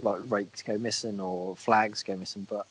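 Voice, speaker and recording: A man with a croaky voice from a cold, co-hosting a podcast in casual, self-deprecating chat. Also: lowish voice, steady tone, normal speed, rough recording. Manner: chatty, self-deprecating, a bit crude. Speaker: podcast co-host.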